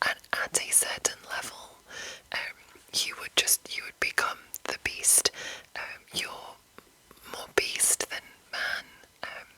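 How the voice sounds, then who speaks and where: A woman whispering an explanation in a breathy, rambling, unscripted ASMR style, soft and measured. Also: low-quality recording. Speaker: ASMR host explaining a roleplaying game.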